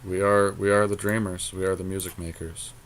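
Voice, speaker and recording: Man, lowish pitch, casual game commentary, bantering, gamer slang. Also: flat delivery, a little fast, background noise. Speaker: podcast co-host playing a card game.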